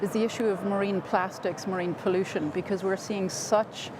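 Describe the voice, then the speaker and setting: A woman hosts a live interview, speaking fluently and with upbeat energy. Her voice sits at a middle pitch. Clear city and traffic noise runs behind the outdoor recording. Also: steady tone, moderate pace. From Ireland. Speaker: host of livestream interview series. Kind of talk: scripted host introduction and questions.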